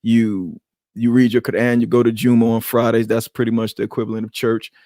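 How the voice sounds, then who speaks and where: A man co-hosting a podcast talks loosely and reflectively, circling back and restarting his thoughts. His voice sits at a middling pitch. The room sounds dry and close. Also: flat delivery, fairly quick, darkish tone, no room sound. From Queens, New York. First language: Arabic. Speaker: podcast co-host. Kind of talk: casual podcast conversation.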